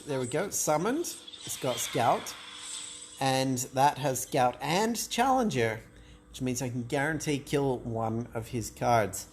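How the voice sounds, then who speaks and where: A man's voice: mid-range voice, darkish tone. Hesitant man thinking aloud. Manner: hesitant, self-doubting, lots of restarts and fillers. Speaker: gameplay commentator. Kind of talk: thinking aloud while playing a card game.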